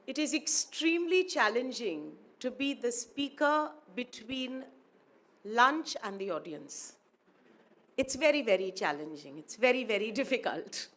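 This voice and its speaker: Slow, high-voiced woman giving a speech. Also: very animated, a bit bright. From India. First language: Urdu. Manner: measured, formal, repeats phrases for emphasis. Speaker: guest speaker, academic. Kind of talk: formal ceremony speech. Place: university auditorium.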